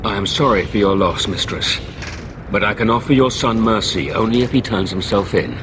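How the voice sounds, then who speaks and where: An actor playing a formal, unbending templar knight in a video game. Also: male voice, on the low side, moderate pace, background noise, close to an everyday voice. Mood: stern, formal.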